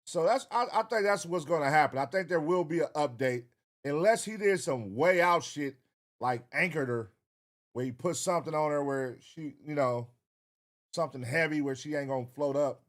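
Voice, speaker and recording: A man's voice: on the high side, moderate pace. An American man hosting a reaction show, giving casual, profane commentary in a blunt, slangy way. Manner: blunt, slangy, animated quoting of imagined dialogue. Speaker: reaction video host.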